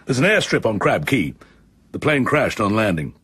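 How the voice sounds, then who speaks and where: An actor playing an efficient, level-headed military chief of staff in a radio drama, British, with a brisk, precise way of explaining. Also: male voice, lowish voice, sing-song, a bit bright, husky, dry recording, heavily acted. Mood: briskly informative.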